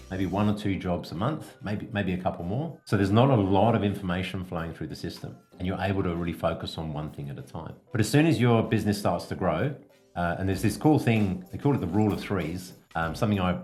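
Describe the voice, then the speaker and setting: A man with a very low voice speaks as a business coach hosting a podcast. He explains conversationally, working step by step through examples. Faint music plays behind him. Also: darkish tone.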